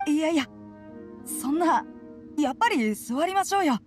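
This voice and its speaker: A shy, courteous boy from a video game, high and very animated. He is hesitant and apologetic, with music behind him. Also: normal speed. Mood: flustered, polite. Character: timid, polite, eager-to-help young boy scout. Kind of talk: Japanese game voice acting.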